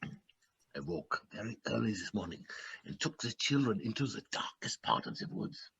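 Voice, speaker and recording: Man playing a bleak, husky woodcutter father. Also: lowish voice, very animated, normal speed, pushed and bright, dry recording, exaggerated voice, low-quality recording. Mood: grim, confessional. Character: bleak, callous father, a poor woodcutter in a fairy tale. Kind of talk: stage play acting, storytelling monologue.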